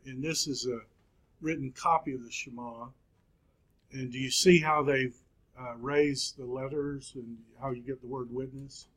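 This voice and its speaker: A man in his fifties teaching a Bible class, speaking slowly with frequent pauses and fillers. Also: mid-range voice, bright, forceful tone, rough recording. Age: 50s. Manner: slow, halting, many fillers and pauses. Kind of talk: classroom lecture.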